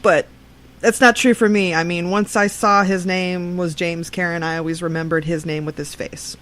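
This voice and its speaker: A woman with a fairly low voice hosts a radio segment. She reads news in an informal way, measured and leaning on certain words for emphasis. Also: steady tone, fairly bright tone. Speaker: radio show host.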